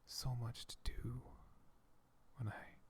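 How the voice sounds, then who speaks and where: A man voicing a calm, kindly male dragon in a soft-spoken ASMR roleplay, speaking slowly and soothingly with a gravelly whisper close to the mic. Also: close to an everyday voice. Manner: slow, hushed, comforting. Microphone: close sensitive ASMR mic.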